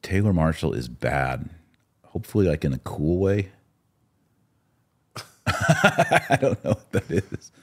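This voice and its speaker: A man with a notably deep voice hosts a casual religious discussion podcast. He explains things conversationally, as if chatting across a table. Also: sing-song, slow pace, long pauses, husky. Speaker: podcast host.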